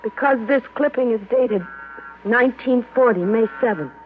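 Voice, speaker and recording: A woman's voice: fairly high pitch, normal speed, narrow, tinny audio, lightly acted. A woman acting the anxious, questioning wife of a fugitive in a 1940s radio drama. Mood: suspicious, uneasy. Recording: thin, noisy old radio recording.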